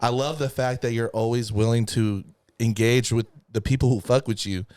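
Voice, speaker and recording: A man hosting a podcast interview, speaking slowly and hesitantly with many fillers. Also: mid-range voice, fairly even intonation, a little mellow. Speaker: podcast host.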